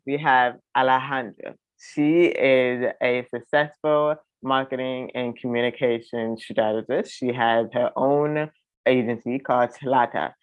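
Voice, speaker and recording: A man's voice: mid-range voice, no echo. A man from South Florida hosting a podcast in a conversational style, on a narrow phone-like recording. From South Florida, United States. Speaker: podcast host. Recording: dry, narrow phone-like sound.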